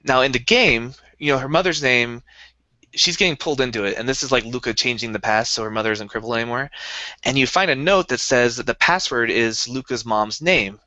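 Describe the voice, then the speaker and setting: A man's voice: mid-range voice, brisk, bright, forceful tone, no room sound, low-quality recording. A young man co-hosting a casual podcast, explaining things eagerly in a rambling way with many restarts. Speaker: podcast co-host. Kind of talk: casual chat.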